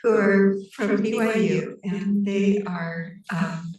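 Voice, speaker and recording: Hoarse woman hosting in an echoey room. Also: mid-range voice, steady tone, slow pace, a little mellow. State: hoarse, losing her voice. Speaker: meeting host. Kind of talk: informal public meeting introduction. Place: public meeting room. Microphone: room microphone with ceiling pickup. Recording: echoey room PA, distant, faint noise.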